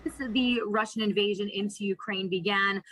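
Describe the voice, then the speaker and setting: A woman's voice: mid-range voice, steady tone, normal speed, fairly bright tone, rough recording. A woman anchoring a live news show, introducing guests in long, friendly, slightly rambling sentences.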